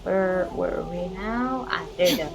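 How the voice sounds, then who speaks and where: A woman's voice: fairly low pitch, measured pace, lo-fi sound, background noise. A woman doing casual gameplay commentary, talking to herself as she plays. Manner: talks to self while playing. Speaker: gameplay streamer. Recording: game music clearly behind the voice.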